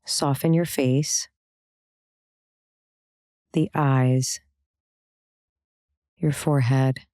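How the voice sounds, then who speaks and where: Female meditation guide, very deep register, soft mellow tone, calm and gentle scripted delivery. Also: dry recording, studio quality. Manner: calm, gentle, unhurried instruction with pauses. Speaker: meditation and yoga teacher.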